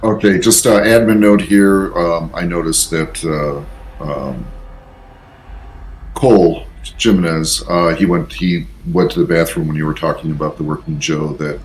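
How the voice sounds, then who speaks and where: A man talking casually and haltingly as a player in a tabletop role-playing game. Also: sits low, steady tone, low-quality recording.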